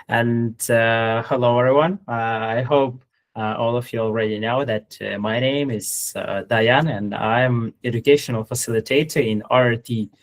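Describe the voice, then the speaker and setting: A male host with a medium-pitched voice speaks at a very unhurried pace. His delivery is halting, with non-native English phrasing, as he informally facilitates an online stream. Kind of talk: hesitant, informal stream hosting. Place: online video meeting.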